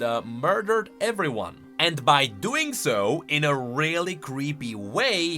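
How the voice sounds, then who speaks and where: A man's voice: a little high, sharp tone. A man giving casual, playful commentary as a streamer, explaining and reacting to video game lore with emphatic delivery.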